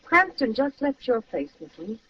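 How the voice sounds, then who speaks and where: A woman's voice: fairly high pitch, very animated, moderate pace, recorded from a distance, a little hiss or hum. A woman acting a cold, mocking femme fatale in an old radio crime drama. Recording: muffled old radio recording, off-mic.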